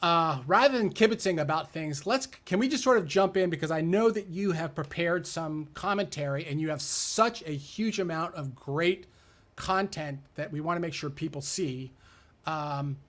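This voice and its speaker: A man's voice: fairly high pitch, normal speed, fairly bright tone. A man hosting a livestream, introducing his guest in a friendly, rambling way. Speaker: livestream host. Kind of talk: casual hosting chat.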